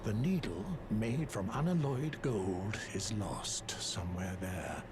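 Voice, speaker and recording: An actor playing a cryptic, bargaining sage in a fantasy game, deliberate and commanding. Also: male voice, fairly low pitch, expressive, music in the background. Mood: cryptic, instructing. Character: cryptic, bargaining male sage who keeps secrets. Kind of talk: video game character acting. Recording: clear ambient noise behind.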